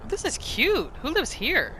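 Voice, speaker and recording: Animated woman narrating a roleplay game. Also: sits high, unhurried, slight background noise. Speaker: gaming video host. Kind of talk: casual roleplay gameplay commentary. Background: game music.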